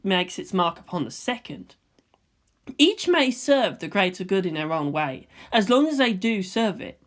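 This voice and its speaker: A woman voicing a calm, philosophical boy with firm conviction, speaking in measured, oratorical phrases for a fan fiction reading. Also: mid-range voice, lightly acted. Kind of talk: fan fiction audiobook character voice.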